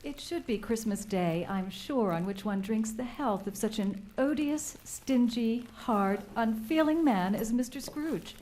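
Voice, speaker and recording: A woman playing an indignant, sharp-tongued wife and mother in a live radio drama, biting and emphatic. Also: fairly high pitch, animated, a little slow, hardly any pauses.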